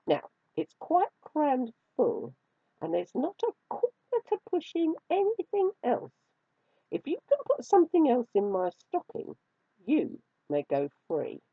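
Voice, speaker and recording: A woman voicing a teasing, haughty male kitten in a children's storybook, scornful and quick to get indignant. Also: sits high, wildly swooping pitch, breathy edge, dry recording, voice pushed up, heavily acted. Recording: tinny, phone-like narrow band.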